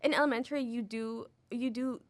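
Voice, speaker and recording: Young woman, co-host, lively, laughs easily, casual. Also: fairly high pitch. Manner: lively, quick to laugh, heavy use of "like". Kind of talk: casual conversation.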